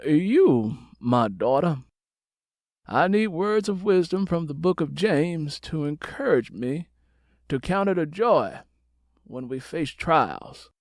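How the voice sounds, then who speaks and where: A man voicing an audiobook figure, a troubled, stubborn older preacher father who speaks in slow, deliberate, sermon-like phrases. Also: animated, dry recording, clean studio sound. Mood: troubled, preachy. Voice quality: deep, weighty.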